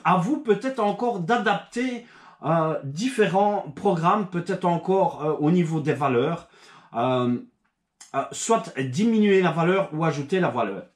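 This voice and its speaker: Rambling man host, echoey room. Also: a little high. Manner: rambling, many fillers and restarts. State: recovering from a cold. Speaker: channel host. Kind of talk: casual video talk to subscribers.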